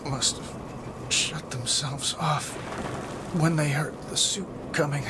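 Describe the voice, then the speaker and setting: A man's voice: a little high, steady tone, takes it slowly, heavy background noise. A man playing a weary, stubborn armoured hero in a video game, wry, clipped and determined, sounding strained. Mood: strained, focused. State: weakened, strained.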